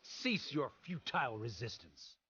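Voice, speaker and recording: An actor playing a stern, cold, condescending academic official who lectures formally and haughtily in an anime game. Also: male voice, medium pitch, very animated, measured pace, muffled recording, heavy background noise. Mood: dismissive.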